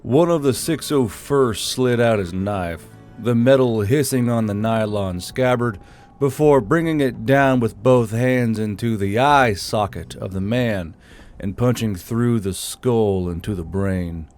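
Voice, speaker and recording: A man's voice: fairly low pitch, measured pace, darkish tone, faint music behind. A man reading scripted military fiction as an audiobook narrator.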